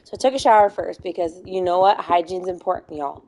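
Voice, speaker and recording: Slightly hoarse woman rambling through a story. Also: on the low side, fairly quick, darkish tone, poor audio. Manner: rambling, self-correcting, laughs often. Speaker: streamer. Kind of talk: casual storytelling while gaming.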